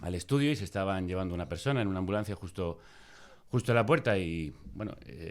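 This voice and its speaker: A man's voice: on the low side, slight background noise. A man with an accent from Spain presenting a radio show, moving between conversation and scripted introductions. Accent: Spanish, from Spain.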